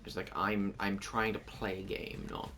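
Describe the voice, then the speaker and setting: A man playing a video game and chatting casually with a friend, laid-back and quick to laugh. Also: little pitch movement, a bit bright. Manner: laid-back, laughs at his own jokes, swings widely in pitch. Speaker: game player and co-host. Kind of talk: casual let's-play chat.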